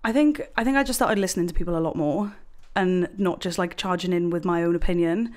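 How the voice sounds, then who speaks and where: A woman's voice: lowish voice, fast, darkish tone. A woman in her twenties from Wales chatting casually as a podcast guest, telling stories and acting out what people said. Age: 20s. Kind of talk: casual personal chat.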